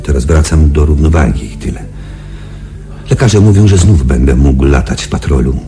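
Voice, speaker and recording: Shaken young space pilot, radio drama, halting confiding storytelling, widely swinging intonation, music behind. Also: male voice, very deep voice, expressive, dark tone. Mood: subdued, reflective. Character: shaken young male space patrol pilot recounting his ordeal.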